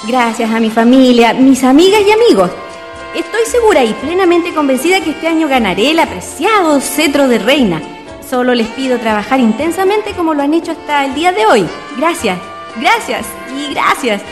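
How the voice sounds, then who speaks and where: Woman playing a lively young queen candidate. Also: high-pitched, moderate pace. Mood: gracious. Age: young adult. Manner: lively, warm-hearted, speechmaking. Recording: radio drama with music and crowd. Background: music and crowd at a party.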